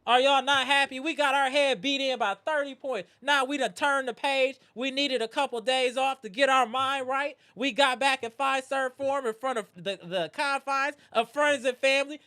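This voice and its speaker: A man hosting a live postgame basketball reaction stream, animated and greeting viewers in the chat, his voice strained from yelling. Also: extremely high pitch, clean tone, no echo. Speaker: livestream host.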